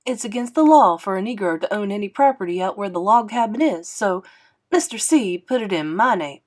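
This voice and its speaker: A woman's voice: mid-range voice, animated, fairly quick, natural voice. Woman doing a laid-back wry piano guy from a storybook jazz band. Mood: matter-of-fact. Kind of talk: acted storybook dialogue.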